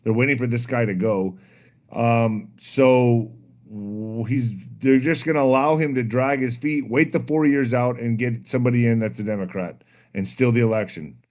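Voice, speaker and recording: A man's voice: mid-range voice, fairly even intonation, normal speed, darkish tone. A man who grew up around El Paso and across the border in Mexico chats about politics. He is blunt and pessimistic, and he talks over others to push his point. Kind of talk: casual political chat.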